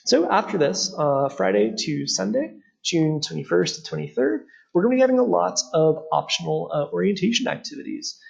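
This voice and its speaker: A man's voice: high voice, expressive, moderate pace, gravelly, hardly any pauses, husky, highs cut off. A young man, not Czech by birth, presents a study abroad webinar over slides, with many "uh" fillers and an earnest, explaining tone.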